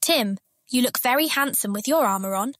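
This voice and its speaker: A coy, charming woman in an audiobook drama. She sweet-talks flirtatiously in a fairly high voice, recorded dry and close. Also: expressive, normal speed, fairly bright tone, no echo. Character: flirtatious, charming woman playing a willing companion.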